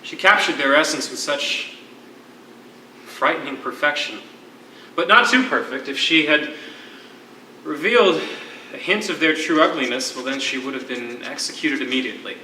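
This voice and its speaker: Stage role: wistful poet and ex-teacher in captivity played by a man, very bright forceful voice, eloquent phrasing, echoey distant recording. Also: on the high side, lively intonation, a little hiss or hum. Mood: tender, nostalgic. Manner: eloquent, theatrical, measured storytelling. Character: lyrical, wistful former poetry teacher, a political prisoner. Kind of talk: live one-act stage play.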